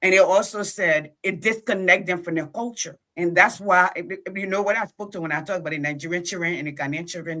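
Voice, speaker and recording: Bright, forceful Liberian woman making points. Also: deep voice. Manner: emphatic, punctuates points with "okay?". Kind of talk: animated conversational commentary.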